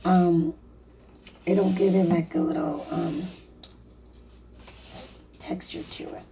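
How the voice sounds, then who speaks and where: A woman with a slightly hoarse, raspy voice, casually narrating a painting project in a chatty, apologetic way with lots of fillers. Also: fairly low pitch, lively intonation, takes it slowly, distant mic, background noise. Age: adult, a mother. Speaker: DIY vlogger.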